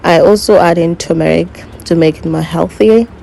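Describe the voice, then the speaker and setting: A young woman narrates a cooking video in English, which is not her first language, talking through each step slowly and pausing to fix her own slips. Her voice sits fairly low, with a gravelly, mellow tone. A faint hiss of noise runs under the recording. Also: low-quality recording. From Nigeria. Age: young adult. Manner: unhurried, self-correcting, instructive. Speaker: cooking vlogger.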